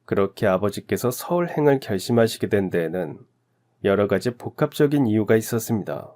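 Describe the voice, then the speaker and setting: Low, mellow-voiced male storyteller. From Daegu, South Korea. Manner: calm, reflective, confessional telling. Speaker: story narrator. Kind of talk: first-person scripted story narration.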